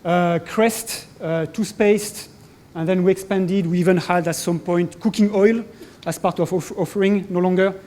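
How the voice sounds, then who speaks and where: A man's voice: sits high, fairly even intonation, moderate pace, a little mellow. French-accented man giving a business talk. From France. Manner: hesitant, many fillers and restarts. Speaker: corporate speaker. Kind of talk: conference presentation. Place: conference hall.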